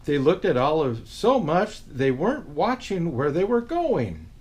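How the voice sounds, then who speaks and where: A man reading a children's picture book aloud as a storyteller, expressive and unhurried. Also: on the high side.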